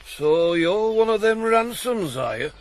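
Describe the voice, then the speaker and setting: A man's voice: high voice, expressive, a little slow. An actor playing a surly, curt and accusing male householder in a radio drama. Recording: 1980s radio play, faint outdoor noise.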